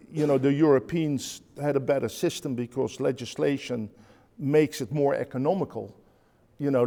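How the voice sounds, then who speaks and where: A man's voice: medium pitch, dark tone, long reverb tail. A man from the Netherlands with a Dutch accent, speaking on a conference panel, hesitant with many fillers and restarts.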